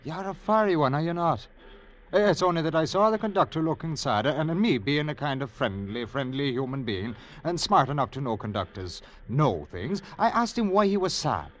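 A man's voice: on the high side, animated, normal speed, natural voice. Man acting a sly, lilting Irish rascal. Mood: soothing, needling. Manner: wheedling, lilting, roundabout storytelling. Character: sly, chatty Irish rascal, needling and falsely friendly. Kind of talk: old radio drama acting.

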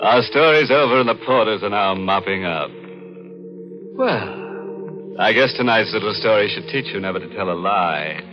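Casting: male horror-anthology host, low voice, lively swoops, macabre mock-ghoulish humor, vintage radio with music behind. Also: moderate pace, dull audio. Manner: tongue-in-cheek, macabre, theatrical. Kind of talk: 1940s radio hosting, scripted.

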